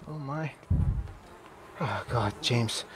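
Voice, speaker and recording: A man's voice: mid-range voice, very slow, crowd noise, voice pushed down. A man voicing a timid, polite, falsely cheery character, stammering with fussy, prim nervousness in an improvised game roleplay. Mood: anxious.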